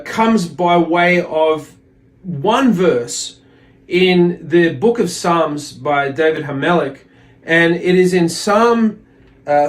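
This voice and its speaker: A man giving a religious lesson as a teacher, speaking in a deliberate, explanatory way and repeating phrases for emphasis. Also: a little high. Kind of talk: religious lesson with readings from a text.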